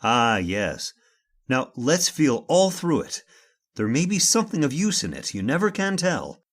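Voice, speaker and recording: An actor playing a stern, stubborn master leading a search, speaking in commanding, impatient, formal old-fashioned phrases in the style of radio horror. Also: male voice, medium pitch, very animated, unhurried. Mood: hushed, curious.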